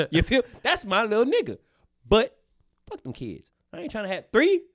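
A man's voice: sits high, moderate pace, no echo. A man in his twenties co-hosting a podcast, chatting casually, swearing often and laughing a lot in a small studio. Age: 20s. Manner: animated, profane, laughs a lot. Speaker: podcast co-host. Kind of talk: casual chat. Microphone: studio microphone.

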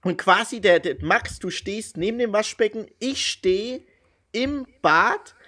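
Animated laughing podcast host. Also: male voice, sits high, a little slow. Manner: animated, laughing, addresses listeners. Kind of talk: casual chat. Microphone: home podcast mic.

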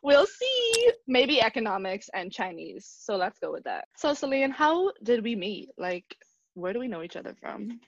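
A woman in her twenties from the Bahamas, co-hosting a podcast in a relaxed, casual way. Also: on the high side, normal speed, a little hiss or hum. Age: 20s. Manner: relaxed, laughing. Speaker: podcast co-host. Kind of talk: casual chat. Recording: dull, dry sound.

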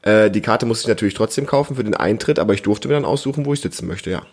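Tiefe Männerstimme im lockeren Gespräch. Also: male voice, fairly low pitch, very fast. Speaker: podcast host, parent talking with child. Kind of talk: casual family podcast chat.

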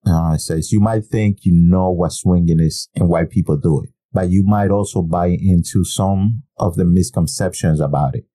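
A man's voice: low voice, normal speed, few pauses, warm tone, no echo. A man co-hosting a podcast in casual chat, laughing often and restarting his sentences as he reads items aloud. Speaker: podcast co-host.

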